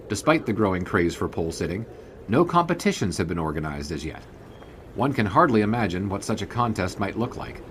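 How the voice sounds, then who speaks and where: An actor playing a dry-humored 1920s sports writer, narrating in first person in a radio-style audio drama. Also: male voice, lowish voice, noisy background, close to an everyday voice. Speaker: narrator and lead character.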